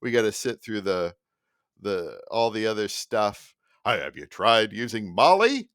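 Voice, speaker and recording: Midwest guy ranting on his own podcast, lowish voice, keeps cutting himself off, sarcastic, dry sound. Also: measured pace, no room sound. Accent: Midwestern American. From American Midwest. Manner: rambling, animated, self-interrupting, sarcastic. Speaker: podcast host. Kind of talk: solo rant.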